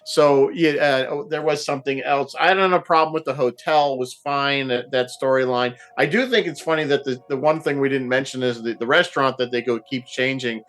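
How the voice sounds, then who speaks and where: A man talking casually as a panelist, giving his opinions in a halting, think-aloud way. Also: mid-range voice, steady tone, a bit bright. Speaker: panelist in a TV show discussion.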